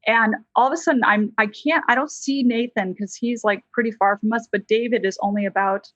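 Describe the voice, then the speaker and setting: An American woman, a licensed counselor, telling a personal story as a podcast guest in a lively, conversational way. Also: fairly high pitch, a little fast, no room sound, lo-fi sound. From United States.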